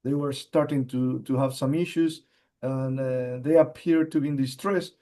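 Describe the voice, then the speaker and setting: A man with an Argentine Spanish accent, a geologist answering interview questions in English, halting with frequent fillers and repeated words. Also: medium pitch, fairly even intonation, mellow. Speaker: mining company executive, geologist.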